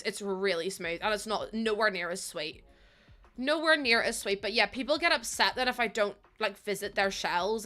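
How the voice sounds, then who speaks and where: A woman's voice: mid-range voice, pushed and bright. A young woman with a Northern Irish accent chatting casually while live-streaming, rambling and self-mocking with lots of fillers.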